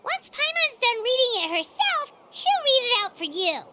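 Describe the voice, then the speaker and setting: A woman's voice: extremely high pitch, big pitch swings, moderate pace, heavy background noise. A woman voicing a tiny, chirpy, childlike fairy companion in a video game, curious about everything. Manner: speaks of herself in the third person. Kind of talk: video game character dialogue. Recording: game music behind the voice.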